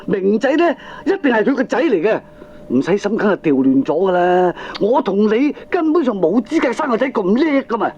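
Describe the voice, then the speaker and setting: A man playing an excitable, talkative middle-aged father in a Hong Kong Cantonese comic radio drama, earnest and emphatic. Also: sits high, brisk, slight background noise. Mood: insistent, earnest. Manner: emphatic, chatty, exaggerated delivery. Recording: dull lo-fi cassette recording.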